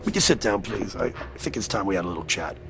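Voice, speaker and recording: A man's voice: sits low, very animated, fast. An actor playing a sly, genial, mysterious newspaperman in a radio drama, wheedling and courtly, stammering when pressed. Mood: smug, sly. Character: sly, genial, mysterious male newspaper reporter and linotype operator. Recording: radio drama with music underneath.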